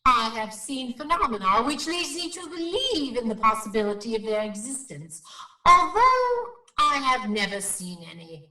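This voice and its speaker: An actress playing an earnest, solemn paranormal investigator in a radio drama, speaking formally and with portentous foreboding. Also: female voice, on the high side, very animated, pushed and bright, far from the mic. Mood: earnest, dignified. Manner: formal, grave, portentous, deliberate wording. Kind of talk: melodramatic radio drama acting. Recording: roomy echo, off the microphone.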